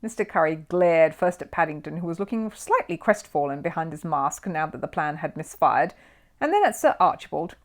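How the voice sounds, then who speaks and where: A woman narrates a children's story in an expressive storytelling style. Her voice sits a little low, and its pitch rises and falls in a lively way. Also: a little fast.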